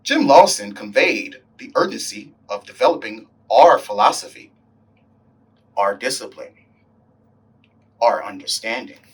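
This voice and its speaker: A man reading a story aloud in an expressive, deliberate way, acting out the dialogue as he goes. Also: medium pitch, breathy edge, distant mic, rough recording. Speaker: volunteer story reader, hospital staff member. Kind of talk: reading a graphic novel aloud.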